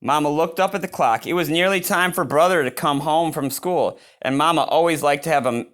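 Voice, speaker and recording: A man reading a picture book aloud as host, expressive and given to chatty reactions to the story. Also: on the high side, a little fast. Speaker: read-aloud reader and channel host.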